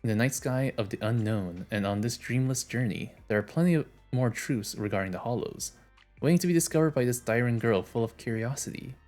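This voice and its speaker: A man streaming a game, reading its story aloud and chatting in a relaxed way, often trailing off. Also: mid-range voice, fairly quick, faint music behind.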